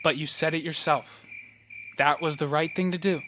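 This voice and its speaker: A man's voice: a little high, fairly even intonation, moderate pace, a bit bright, slight background noise, natural voice. A young man playing a troubled, lonely, sardonic teenage boy in an audio play, with a dry, morbid way of talking. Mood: steady, reassuring.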